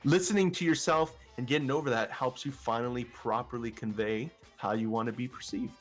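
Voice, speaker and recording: Vtuber guy with a deeper voice, rambles and restarts a lot, lil bit of music under it. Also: steady tone, a little fast. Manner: rambling, filler-heavy, frequent restarts. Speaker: vtuber guest. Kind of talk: casual interview chat.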